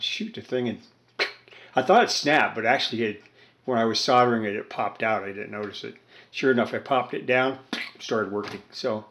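A man's voice: mid-range voice, a bit bright. An older man chatting casually and hesitantly at a cluttered home electronics workbench, with lots of fillers as he explains his radio repair. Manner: rambling, hesitant, many fillers. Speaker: radio repair hobbyist vlogger. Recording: roomy home workshop echo.

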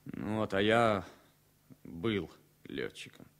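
A wry ex-military pilot in his thirties for a radio play. His voice is fairly low. He talks informally and haltingly, with a needling edge. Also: expressive, fairly bright tone, heavily acted. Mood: wistful, guarded. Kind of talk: Soviet radio drama acting.

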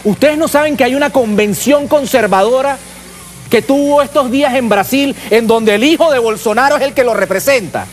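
A man's voice: very high voice, normal speed, a little hiss or hum. A Venezuelan man hosting a political talk show, giving impassioned, colloquial commentary in an emphatic way with rhetorical questions.

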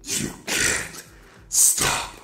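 A man voicing a menacing speedster villain in a low whisper, pitched down with reverb and doubled. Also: very slow, sharp tone, full character voice. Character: demonic deep-voiced male speedster villain, menacing. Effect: pitched down with reverb and doubled track. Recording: echoey processed voice over music.

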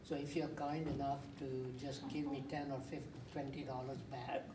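A man's voice: mid-range voice, flat delivery, moderate pace. An older man at a workshop telling a personal story in a conversational way, searching for words, speaking into a handheld mic in a community room. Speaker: workshop participant. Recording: echoey room, mic at a distance, noisy.

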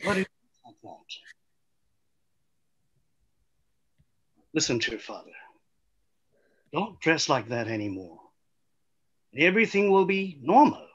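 A middle-aged man playing a gruff, awkward, scolding father in a film script table read, with blunt, halting delivery over a video call. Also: a little high, big pitch swings, lo-fi sound. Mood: awkward consoling. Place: indoor room at home, video call.

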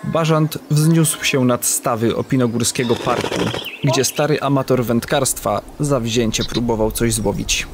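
A man's voice: mid-range voice, a little slow, noisy background. A man narrating a scripted legend in a literary, dramatic storytelling manner.

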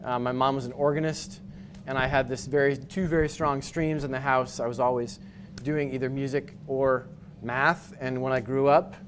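A man from New England giving a conference talk with slides, explaining step by step with frequent pauses and restarts. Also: medium pitch, a little hiss or hum. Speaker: conference speaker. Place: hotel conference room with projector screen.

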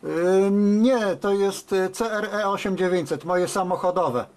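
A man talking as a radio operator in a halting, conversational CB contact. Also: sits high. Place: indoors at a desk with radio sets. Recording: very noisy, radio hiss and clicks.

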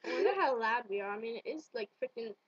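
A woman's voice: fairly high pitch, fast talker. A young woman chatting casually and goofily with a friend, giggly and sweary, on a webcam mic in a bedroom. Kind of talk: casual goofy chat with a friend. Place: small bedroom, indoors. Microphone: webcam or laptop mic at arm's length. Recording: dull lo-fi webcam audio.

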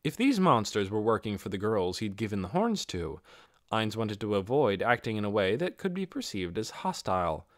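A man's voice: on the low side, sing-song, normal speed, no room sound, clean studio sound. A man reading a fantasy novel as a scripted audiobook narration.

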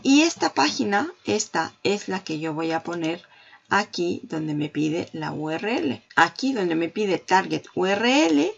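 A woman hosting a marketing tutorial, walking the viewer through software on screen and talking straight to them. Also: on the low side, sing-song, a little slow, creaky, slightly rough, highs cut off. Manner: explanatory, addresses viewer directly. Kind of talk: screen-recorded software walkthrough.

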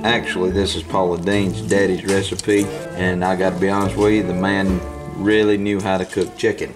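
A man's voice: low-pitched, flat delivery, moderate pace. A man, the host's husband, bantering playfully and folksy in a home kitchen. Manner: playful, tall-tale teasing, folksy. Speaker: husband, co-host. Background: background music and sizzling.

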